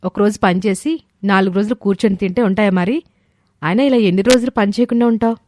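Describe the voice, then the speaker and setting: A woman's voice: mid-range voice, quick pace, a little mellow, dry recording, studio quality, natural voice. A woman voicing an irritated, sarcastic village wife who scolds her lazy husband in a storybook tale. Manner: nagging, sarcastic. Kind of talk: storybook character voice.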